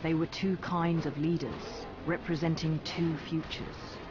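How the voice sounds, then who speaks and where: A man acting as a solemn fantasy storyteller with a lightly raised pitch. He reads in measured, storybook fashion for a game. Music plays clearly behind his slightly dull recording. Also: little pitch movement, close to an everyday voice. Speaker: narrator. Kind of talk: scripted storybook narration.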